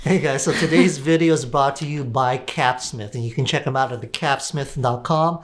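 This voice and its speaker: A man in his thirties hosting a casual vlog, chatty and quick to laugh. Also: mid-range voice. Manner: laughing, animated storyteller. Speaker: vlog host. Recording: music underneath.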